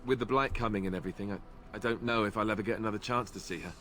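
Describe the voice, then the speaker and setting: A man's voice: on the low side, rapid-fire, fairly bright tone, lightly acted. An actor playing an awkward, self-deprecating young male knight in a game, talking in a hesitant, rambling way. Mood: earnest. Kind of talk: game character dialogue.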